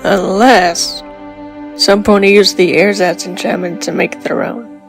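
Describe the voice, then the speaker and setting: Lady doing chill protective pony sis for a cartoon dub, wry, medium voice, soft music under it. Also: medium pitch, steady tone, measured pace, gravelly. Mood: matter-of-fact. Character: cool, casual, protective pony sister. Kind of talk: fan comic dub, cartoon acting.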